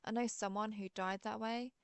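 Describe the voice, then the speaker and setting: A woman's voice: medium pitch, steady tone, moderate pace, clear, resonant tone, dry recording, natural voice. A woman voicing a friendly, theatrical century-old vampire in an audio roleplay, chatty and playfully grand. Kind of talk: scripted audio roleplay monologue.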